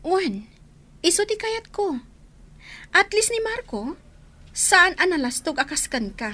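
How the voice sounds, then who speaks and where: A woman's voice: very high voice, very animated, unhurried, bright, forceful tone, exaggerated voice. A woman voicing a frank, principled young female student in an Ilocano radio drama. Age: young adult. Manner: blunt, frank.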